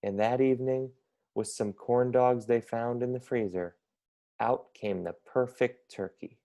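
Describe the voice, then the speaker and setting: Casting: young male storybook narrator, dark mellow tone with noticeable vocal fry, measured pace. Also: medium pitch, fairly even intonation. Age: young adult. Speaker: storybook reader, college student. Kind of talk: scripted children's story narration.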